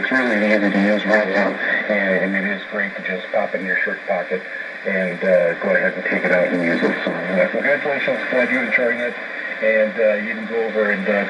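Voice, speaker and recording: A man hosting a radio show, giving informal announcements in a halting, hesitant way with frequent uhs and repeated words. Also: lowish voice. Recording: very noisy shortwave radio reception. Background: loud radio static and noise.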